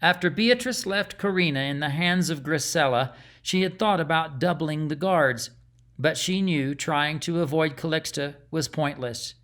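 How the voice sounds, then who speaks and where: A man narrating a fantasy storybook in a measured, dramatic way. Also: on the high side, fairly bright tone. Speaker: audiobook narrator.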